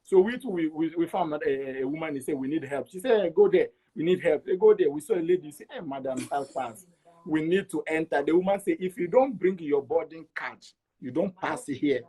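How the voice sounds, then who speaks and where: Higher-voiced Togolese man telling his story. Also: animated, brisk, mellow, slightly rough, low-quality recording. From Togo. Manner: repeats words for emphasis, acts out quoted dialogue. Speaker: interview guest. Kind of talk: animated personal storytelling.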